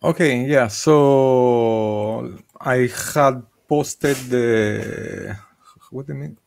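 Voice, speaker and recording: Man, 30s, video-call meetup organizer; slow, halting, gravelly delivery. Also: mid-range voice, darkish tone. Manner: hesitant, many filler uhs. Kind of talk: casual video call discussion. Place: home room with bookshelves. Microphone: desk microphone on video call.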